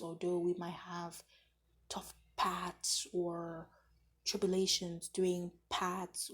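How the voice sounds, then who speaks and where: A young woman hosting a daily Bible chapter series, talking through the reading in a casual, soft, half-whispered way with a low voice. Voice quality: low, husky, gravelly voice. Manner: soft, half-whispered, flat delivery with fillers. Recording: low-quality home recording.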